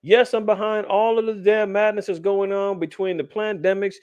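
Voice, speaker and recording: A man's voice: sits high, little pitch movement, moderate pace, a little mellow, clean tone, no room sound, dull audio, pitched above the performer's own voice, close to an everyday voice. A man voicing a boastful, defiant wicked man who openly admits his schemes, in a brash, taunting street-talk manner.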